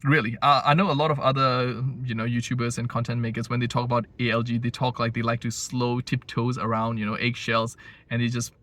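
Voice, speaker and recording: A man's voice: medium pitch, flat delivery, brisk, fairly bright tone. A man giving a blunt, rambling opinion monologue as a language-learning vlogger, full of fillers and restarts. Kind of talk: casual opinion monologue to camera. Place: home in Japan.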